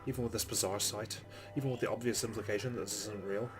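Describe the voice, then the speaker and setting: A man's voice: lowish voice, fairly even intonation, quick pace. A man hosting a let's play, reading game narration aloud dramatically and adding muttered, sweary asides. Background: game music.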